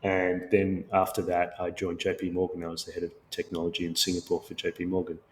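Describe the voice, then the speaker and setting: A middle-aged man with an Australian accent, born in Sri Lanka, giving measured, explanatory answers as a co-founder guest in a business interview. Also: deep voice, monotone, slight background noise, rough recording. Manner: measured, explanatory, with occasional restarts.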